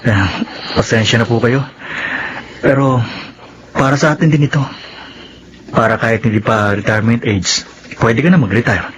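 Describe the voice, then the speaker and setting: A man's voice: medium pitch, normal speed, long pauses, a bit bright, lightly acted, rough recording. An actor playing a respectful, reluctant son in his twenties in a radio drama, with a Filipino accent. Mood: earnest, reassuring. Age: 20s. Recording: faint background noise.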